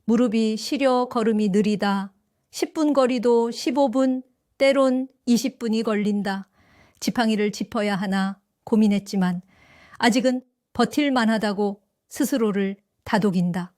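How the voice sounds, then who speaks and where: An older woman tells her story in a calm, reflective first-person narration. Her voice sits fairly high, and the recording is close and completely dry. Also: measured pace, no echo. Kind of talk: scripted first-person audio drama narration.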